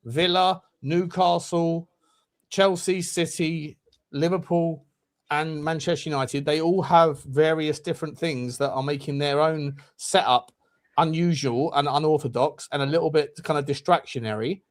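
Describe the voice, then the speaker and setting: A man's voice: fairly high pitch, fairly even intonation, measured pace. A man hosting a casual football chat, quizzing his panel with what-if questions. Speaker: host.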